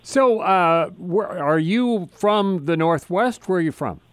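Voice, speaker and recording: A man's voice: high-pitched, slow, smooth. A man hosting a radio interview, asking questions in a conversational way with hesitant fillers.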